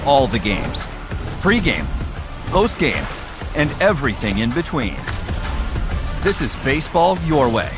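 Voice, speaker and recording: A man's voice: mid-range voice, very animated, measured pace, pauses often, music in the background. A man reading a scripted promotional voice-over for an advert.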